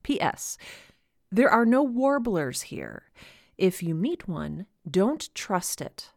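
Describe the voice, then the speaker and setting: A woman playing a wise, quirky teacher reading out her letter in audio fiction, deliberate and gently instructive. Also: mid-range voice, big pitch swings, vocal fry, dry recording, studio quality, exaggerated voice. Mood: tender, wry.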